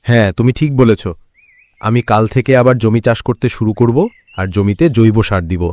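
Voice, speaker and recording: A man's voice: fairly low pitch, steady tone, a little fast, a little mellow, natural voice. A man voicing a worried, earnest village farmer and husband in a cartoon. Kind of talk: Bengali cartoon voice acting.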